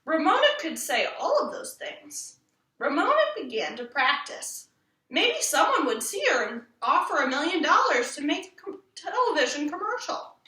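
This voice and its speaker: Female children's book reader, very lively intonation and bright tone, recorded from a distance in a roomy space. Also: sits high, moderate pace. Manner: animated, acts out the quoted lines. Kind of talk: expressive storybook read-aloud. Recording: roomy echo, distant from the mic.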